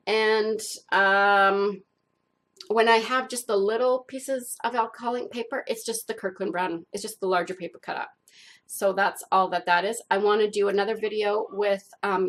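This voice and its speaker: Woman art vlogger with a cold, nasal and stuffed up, a little gravelly, rambling and chatty. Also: medium pitch, fairly bright tone. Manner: chatty, rambling with many fillers. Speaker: art channel host. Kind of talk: casual talk to camera.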